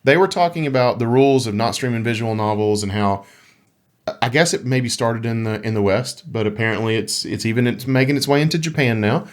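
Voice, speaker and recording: A man's voice: mid-range voice, brisk. A man co-hosting a gaming podcast, chatting casually and jokingly, putting some playful drama on anything he reads out. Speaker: podcast co-host. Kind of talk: casual conversational podcast chat about gaming.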